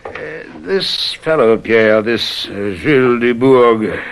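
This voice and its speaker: An urbane, shrewd man of detection in an old radio mystery drama. His delivery swoops up and down as he muses, pauses and teases with condescending courtesy. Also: medium pitch, animated, clean tone. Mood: musing, teasing. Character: urbane, shrewd male detective, teasing and self-assured.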